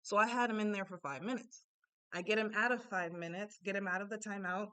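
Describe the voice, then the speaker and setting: Animated woman with a slight Panamanian accent. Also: medium pitch, a little fast, clean tone. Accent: slight Panamanian Spanish. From born in Japan, Panamanian family. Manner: animated, conversational, frequent "right?" asides. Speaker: self-help speaker. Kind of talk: casual storytelling monologue.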